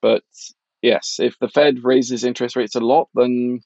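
A man's voice: medium pitch, fairly even intonation, measured pace, vocal fry, darkish tone, no echo. Blunt middle-aged man, slightly muffled dry sound. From Norway. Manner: opinionated, blunt, informal. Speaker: financial journalist and author, interview guest. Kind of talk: casual analytical interview talk.

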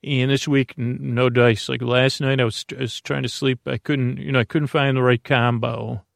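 Meandering American man with a creaky voice. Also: medium pitch, level tone, no echo, studio quality. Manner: meandering, halting, trailing off mid-thought. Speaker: host of a bedtime story podcast. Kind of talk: rambling bedtime monologue.

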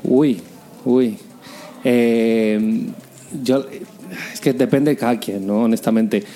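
A man's voice: mid-range voice, steady tone, slow, mellow. A man with a Mexican accent talking casually as an interview guest, often searching for his phrasing. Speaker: podcast guest, digital marketing professional. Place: indoors, in a home.

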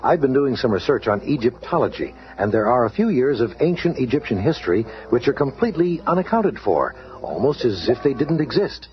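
A man playing an earnest, authoritative scientist leader in a superhero radio drama, explaining in a measured way. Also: medium pitch, sing-song, lightly acted. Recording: dull old radio recording, faint noise.

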